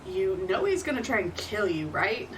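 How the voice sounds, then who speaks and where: An actress playing a blunt, sardonic fighter who is impatient to get to the violence. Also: female voice, medium pitch, sing-song, moderate pace, far from the mic, slight background noise, lightly acted, poor audio. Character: blunt, fight-hungry woman fighter with guns and magic. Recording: a little off-mic.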